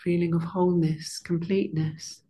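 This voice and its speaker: A soft-spoken woman leading a meditation and then chatting with her online class as their teacher, slow and gentle at first, then warm and full of fillers. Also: lowish voice, level tone, slightly rough. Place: monastery room.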